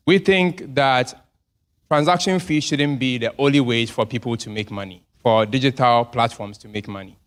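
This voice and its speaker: A man pitches his startup with earnest conviction, tying it to his own upbringing. His voice sits at an ordinary middle pitch, and he speaks in a persuasive, prepared way. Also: fairly bright tone. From Ghana. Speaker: startup founder. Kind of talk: pitch presentation at a live event. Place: event venue.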